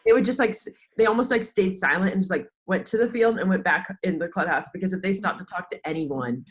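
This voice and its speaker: A woman's voice: lowish voice, fast talker. A woman in her twenties with an American accent, chatting casually as an interview guest and peppering her sentences with "like". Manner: informal, chatty, heavy use of "like". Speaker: podcast guest, sports social media worker.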